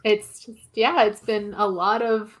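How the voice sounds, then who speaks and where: A woman's voice: mid-range voice, steady tone, slow pace, low-quality recording. A woman chatting casually and playfully as a podcast guest. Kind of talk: casual chat.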